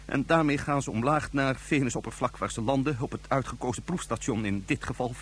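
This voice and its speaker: An actor playing a frightened, reluctant secret agent in a radio play, stammering and pleading with a husky, jittery voice. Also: male voice, medium pitch, brisk, a bit bright, a little hiss or hum, natural voice. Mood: nervous, reluctant. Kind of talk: radio drama acting.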